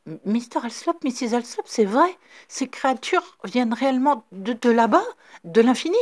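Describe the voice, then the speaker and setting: Frantic male reporter, shrill and shouting, lively swoops, read by a woman. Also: fairly quick, breathy edge, full character voice. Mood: excited. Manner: shrill, shouting, breathless urgency.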